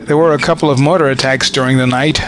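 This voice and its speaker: An actor playing a matter-of-fact American army sergeant in a war audio drama, easygoing and practical. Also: male voice, medium pitch, fairly even intonation, fast talker, music in the background, close to an everyday voice.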